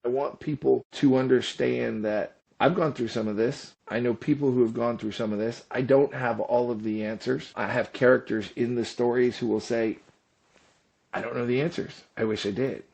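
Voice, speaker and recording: Man, creaky gravelly voice, relaxed dark tone, earnest, explanatory, interview guest. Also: medium pitch, normal speed. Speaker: author, podcast guest.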